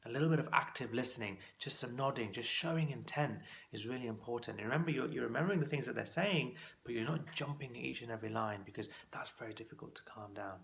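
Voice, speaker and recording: A man's voice: mid-range voice, fast talker, creaky, few pauses, a bit bright. A man teaching as a clinical exam course tutor, giving practical coaching tips in a conversational instructional talk to camera.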